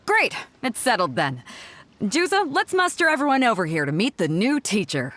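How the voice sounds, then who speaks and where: A woman's voice: on the high side, sing-song, normal speed, a bit bright, slight background noise. A woman playing a confident, teasing female ship captain in a video game, breezy and self-assured. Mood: brisk, commanding. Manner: breezy, playful, self-assured, chuckles while talking. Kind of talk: video game character dialogue.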